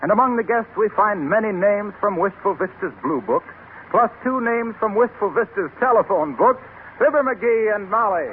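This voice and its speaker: A man's voice: high-pitched, animated, moderate pace. A man working as a radio announcer, reading scripted 1930s copy in a polished, emphatic sales style. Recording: tinny, noisy 1930s radio recording.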